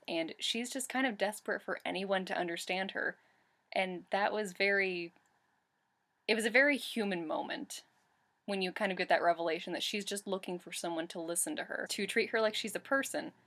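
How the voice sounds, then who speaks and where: A woman's voice: mid-range voice, a bit bright. A woman in her twenties, chatting enthusiastically about a book she read and correcting herself as she goes, on a webcam in her bedroom. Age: 20s. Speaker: book reviewer. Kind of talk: casual book review vlog. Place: bedroom in front of a bookshelf.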